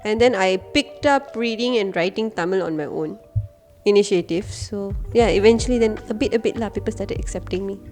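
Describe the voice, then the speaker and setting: A woman with a Malaysian English accent tells a story in a chatty, candid way, at a middle pitch. Faint music runs underneath her voice. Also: fairly quick, darkish tone. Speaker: podcast co-host. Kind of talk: casual chat.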